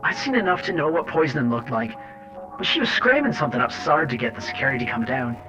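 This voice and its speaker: A man's voice: mid-range voice, lively intonation, fast talker, natural voice. A man acting a sardonic, hot-tempered agent who tells his story in colloquial first-person drama narration. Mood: brooding, wry. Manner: colloquial, wry, swearing. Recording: dull lo-fi cassette with music.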